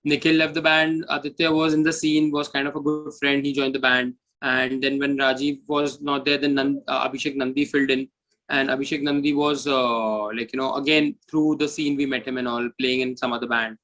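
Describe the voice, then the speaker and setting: A man's voice: mid-range voice, flat delivery, fairly quick, fairly bright tone, rough recording. A man with an Indian English accent talking casually as an interview guest, rambling loosely with plenty of "like, you know". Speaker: band bassist and founder, interview guest.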